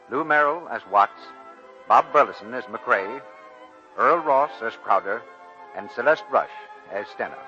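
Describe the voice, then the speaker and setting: Formal 1940s American announcer over music. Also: male voice, medium pitch. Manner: formal, clearly enunciated. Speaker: radio announcer. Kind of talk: 1940s radio announcing, scripted credits. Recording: dull 1940s radio, loud music behind.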